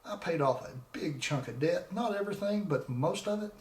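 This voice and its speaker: A man's voice: high-pitched, animated, moderate pace, a little mellow, reverberant. A man in his forties hosting his own podcast, talking casually and rambling with frequent restarts into a desk microphone. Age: 40s. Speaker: podcast host. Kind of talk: casual solo podcast talk. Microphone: desk microphone with laptop.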